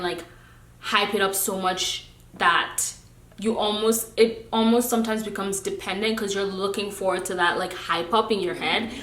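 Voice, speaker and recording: A woman's voice: medium pitch, fairly bright tone. A young woman vlogger giving a candid, emphatic talk about friendships, sometimes preachy and breaking into laughter. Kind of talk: candid casual rant to camera. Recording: echoey room.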